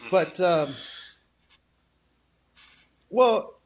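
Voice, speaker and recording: A man's voice: high-pitched, lively intonation, very slow, a little hiss or hum. Blunt rambling man in his forties. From United States. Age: 40s. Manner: rambling, restarts often, blunt and profane. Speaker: podcast co-host. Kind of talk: casual political chat.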